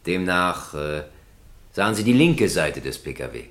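Stern, low-voiced courtroom judge. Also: male voice, big pitch swings, unhurried. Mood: insistent, formal. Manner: formal, probing, emphatic questioning. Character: stern male presiding judge in an East German courtroom. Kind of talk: German radio crime drama acting.

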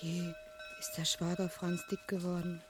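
An actress playing an embittered, fretful young village postal worker thinking to herself in a radio play. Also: female voice, fairly low pitch, completely flat delivery, moderate pace, over loud music, lightly acted. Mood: petty, distracted. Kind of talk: radio play inner monologue. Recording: clicks and clear background noise.

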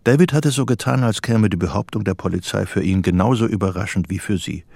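Male radio drama narrator, lowish voice, gravelly, composed literary delivery, close dry sound. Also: quick pace, darkish tone, no room sound.

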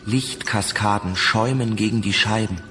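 A man's voice: on the low side, normal speed, over music. A man reciting poetic scripted prose as a narrator in an audio drama.

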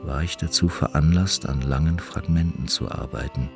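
Male narrator, extremely low voice, formal literary read with music underneath. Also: vocal fry. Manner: measured, formal reading. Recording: music playing behind the voice.

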